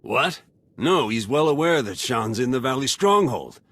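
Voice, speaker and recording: An actor playing a suspicious, hot-headed military captain in a video game, blunt and commanding. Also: male voice, medium pitch, big pitch swings, unhurried, a bit bright, no room sound. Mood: indignant. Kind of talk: video game character acting.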